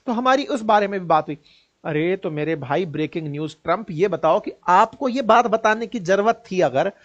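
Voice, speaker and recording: A man with an Indian accent and a notably high voice explains the news in a colloquial, sarcastic way. He speaks straight to the listener and often asks rhetorical questions. The recording sounds slightly dull and lo-fi. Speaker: news commentator and presenter. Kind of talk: informal news commentary, explainer.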